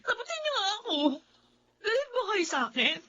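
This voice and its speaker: Woman playing a very high-voiced, wounded young Filipina. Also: very animated, a little fast, sharp tone, a little hiss or hum, exaggerated voice. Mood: hurt protest. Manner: plaintive, emotional protesting. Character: hurt, defiant young granddaughter, anxious and wounded. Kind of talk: radio-style melodrama acting. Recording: dull lo-fi sound under music.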